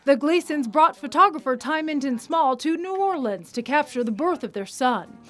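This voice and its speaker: A woman TV news reporter giving scripted news narration with a polished, measured delivery. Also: high voice, lively intonation, a bit bright, faint music behind.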